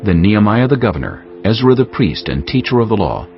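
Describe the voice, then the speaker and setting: Deep-voiced male scripture narrator over music. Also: sing-song, fairly quick. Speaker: audiobook narrator. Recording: dull lo-fi audio under prominent music.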